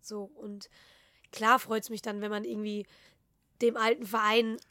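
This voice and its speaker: A woman in her twenties, a footballer and podcast guest, speaking softly and thoughtfully in casual conversation. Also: a little high, level tone, measured pace, no room sound, clean studio sound. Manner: soft-spoken, laughs often, thoughtful.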